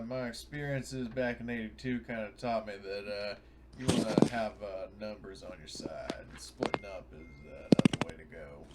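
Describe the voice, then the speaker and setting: A man talking casually as a player in a tabletop roleplaying game. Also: lowish voice. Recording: noisy mic with clicks.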